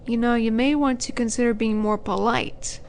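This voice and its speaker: Casting: actress for female demon in audio drama, higher-pitched, haughty, flirty and taunting, unhurried delivery, noisy background. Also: steady tone, natural voice. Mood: teasing menace. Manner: condescending, teasing, unhurried menace with breathy asides. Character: flirty, teasing female demon, haughty would-be buyer of captives. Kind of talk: scripted audio roleplay monologue.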